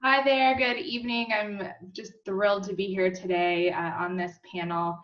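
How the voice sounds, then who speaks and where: A woman's voice: mid-range voice, little pitch movement, moderate pace. A woman panelist introducing herself on a video call in an upbeat, chatty way with frequent fillers. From Costa Rica and Mexico, then Colorado. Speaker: panelist, state representative. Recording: muffled distant video-call audio.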